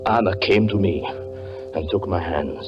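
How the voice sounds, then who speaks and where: A brooding, deep-voiced melodramatic magician. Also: male voice, unhurried. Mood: brooding, fateful. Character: tormented, jealous married stage magician telling his downfall. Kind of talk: 1940s radio acting, dramatic first-person narration. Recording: muffled 1940s radio recording with music.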